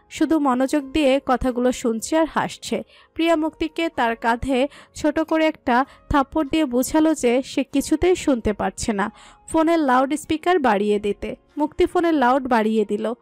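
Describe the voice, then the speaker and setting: A woman's voice: sits high, darkish tone, dry recording. A woman reading scripted narration for a romantic story.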